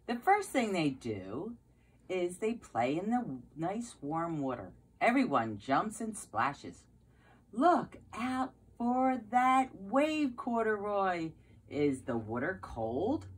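A woman's voice: mid-range voice, sing-song, takes it slowly, slightly rough. A middle-aged woman reading a picture book aloud to young children like a preschool teacher, with questions and exclamations. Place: carpeted basement room at home, indoors.

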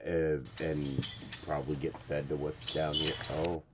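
A middle-aged man giving casual, dry, unhurried reaction commentary as he watches a horror video. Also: a bass voice, level tone. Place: indoors at a streaming setup. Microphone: large microphone on an arm, close. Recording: loud background noise from played video.

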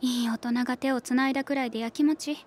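High, husky, challenging young woman. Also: steady tone, fast talker. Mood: cool, challenging. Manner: pointed, chiding. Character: blunt, challenging young woman, a rival friend. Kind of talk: radio drama dialogue.

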